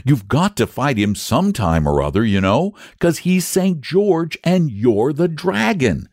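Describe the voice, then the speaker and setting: A man reading aloud, putting on a young boy for a storybook, pitched far above his own voice and bursting with eagerness. The delivery is high and pleading, and the dry, close recording has no room sound. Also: big pitch swings, unhurried, darkish tone, no room sound, exaggerated voice.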